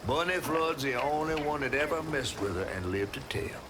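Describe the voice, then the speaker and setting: An actor playing an old, folksy, wry Southern storyteller in a video game, drawling like someone telling a folk tale. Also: male voice, a little high, animated, normal speed, background noise, natural voice. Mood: storytelling. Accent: American South. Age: older. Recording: music under the voice. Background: game music.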